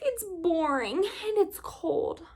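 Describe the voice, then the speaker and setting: A woman's voice: extremely high pitch, lively intonation, slow, a little mellow, pitched above the performer's own voice, heavily acted. A woman voicing an eager, exclamatory little penguin boy, a clingy younger brother in a children's storybook. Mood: sad. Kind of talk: children's storybook read-aloud.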